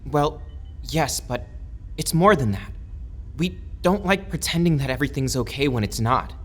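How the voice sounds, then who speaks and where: An actor playing a troubled, conscience-stricken young man in an audio drama, earnest and halting with a bitter edge. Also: mid-range voice, expressive, lightly acted. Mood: hesitant, troubled.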